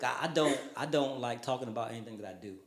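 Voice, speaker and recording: Quick-talking laid-back man in his thirties. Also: mid-range voice, crowd noise. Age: 30s. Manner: laid-back, trails off, repeats words, slangy. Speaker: podcast host. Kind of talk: casual chat. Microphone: studio podcast mic he set up himself.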